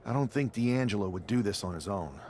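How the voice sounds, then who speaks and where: Guy playing cocky young wrestler, wisecracks, very expressive voice, bit of background noise. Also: on the low side, a little fast, natural voice. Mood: suspicious. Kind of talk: video game cutscene acting.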